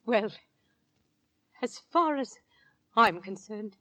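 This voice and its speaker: A woman playing an anxious, fearful middle-aged Victorian mother in a radio drama, tense, halting and urgent. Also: on the high side, expressive, a bit bright, dry recording. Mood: grave, determined. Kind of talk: old-time radio drama acting.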